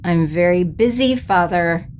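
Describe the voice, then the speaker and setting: A woman playing an imperious, scheming space sorceress empress in an audio drama, creaky and commanding. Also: lowish voice, a little slow, darkish tone, slight background noise, lightly acted. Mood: haughty, impatient. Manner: commanding, haughty.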